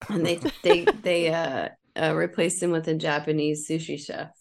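Creaky-voiced woman, lively banter. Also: sits low, moderate pace. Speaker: podcast cast member. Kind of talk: casual joking banter.

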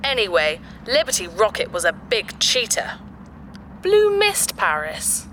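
A woman's voice: mid-range voice, huge pitch swings, a little slow, a bit bright, a little hiss or hum. A woman playing a childlike, playful and mischievous spaceship computer in a sci-fi audio drama. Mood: playful, whiny. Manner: speaks of itself in the third person.

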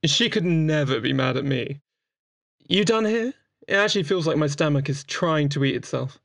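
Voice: medium pitch, lively intonation, normal speed, vocal fry, no room sound, clean studio sound. An actor of androgynous voice playing a sociable, playful doctor in a chatty audio drama, exuberant, informal and teasing. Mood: breezy. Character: breezy, sociable, playful doctor at a space clinic.

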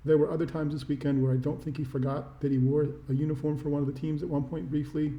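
A man co-hosting a sports podcast, giving reflective, measured opinions in casual talk with stammered restarts, recorded in an echoey room. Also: fairly high pitch, flat delivery, dark tone. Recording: muffled, echoey room sound.

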